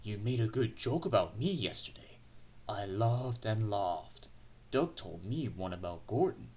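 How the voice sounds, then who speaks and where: Storybook diesel engine, played by a man: smug, oily schemer, short fuse, growly, unhurried and very animated. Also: fairly high pitch, voice pushed up. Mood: sly, oily. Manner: oily, growling, roaring when angered. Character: boastful, scheming, short-tempered male diesel locomotive. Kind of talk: children's storybook character voice.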